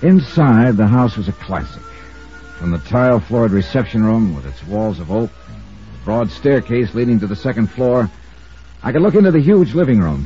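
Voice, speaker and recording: On a dull old radio recording with faint music, an American male investigator. He is wry and self-assured, his pitch swinging freely as he talks and narrates. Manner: wry, brisk, crisply emphatic. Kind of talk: 1950s radio drama dialogue and first-person narration.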